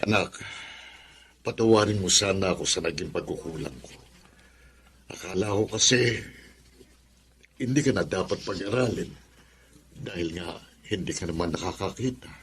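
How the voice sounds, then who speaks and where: A man's voice: deep voice, normal speed, long pauses, a little hiss or hum, close to an everyday voice. A man playing an affectionate old father and grandfather in a Filipino radio drama, with a Filipino accent. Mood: earnest, affectionate, then subdued, remorseful. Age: older.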